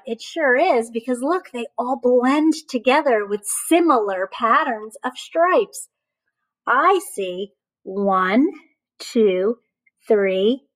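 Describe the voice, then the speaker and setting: A woman reading a picture book aloud as a teacher of young children, animated and encouraging, often asking questions and pausing for answers. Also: a little high, rough recording.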